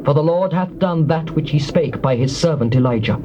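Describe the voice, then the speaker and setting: Give the voice of a ruthless, zealous warrior king played by a male actor in a scripture drama. He is British, pitched a little high, and declaims with solemn, imperious authority. The old tape is clearly noisy. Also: brisk, close to an everyday voice. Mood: declaiming. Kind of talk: dramatised scripture, classical stage acting.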